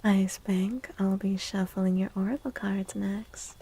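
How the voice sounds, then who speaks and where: Female ASMR livestream host. Husky, breathy, often whispered, with a soft warm tone and gentle manner. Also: medium pitch, level tone. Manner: gentle, unhurried. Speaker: livestream host and tarot reader.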